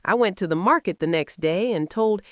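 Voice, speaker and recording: A woman with a Nigerian accent narrating a scripted first-person folktale in a dramatic, expressive way. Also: medium pitch, moderate pace, no echo, studio quality. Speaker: storyteller.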